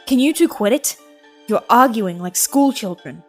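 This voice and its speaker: A woman's voice: a little high, expressive, normal speed, faint music behind. Woman as a sensible, exasperated cartoon gremlin sidekick. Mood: annoyed. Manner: reasoning, scolding.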